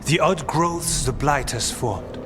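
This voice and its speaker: An actor playing an earnest, curious scholar-warrior in a video game, thoughtful and a little hesitant. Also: male voice, fairly high pitch, lively intonation, takes it slowly. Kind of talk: dramatic video game cutscene acting. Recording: clear music behind the voice.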